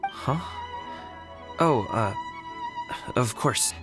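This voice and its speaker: Young male game hero, a guard: mid-range, casual, frank, proud yet discouraged, soft music behind. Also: mid-range voice, lively intonation, drawn-out words. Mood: startled. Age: young adult. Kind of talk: video game voice acting.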